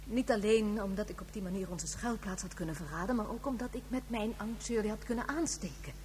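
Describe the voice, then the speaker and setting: An actress as a very old woman in a sci-fi radio play, gentle and reflective. Her voice sits at an ordinary female pitch and rings in a long reverb over a humming noise. She tells things in a measured, reminiscing way. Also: natural voice. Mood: confiding. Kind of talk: Dutch science fiction radio drama acting.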